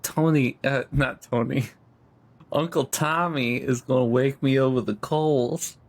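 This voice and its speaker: A man's voice: medium pitch, steady tone, unhurried. A man playing a tabletop game, joking and laughing as he rambles through his lines. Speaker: player. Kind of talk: casual tabletop role-play.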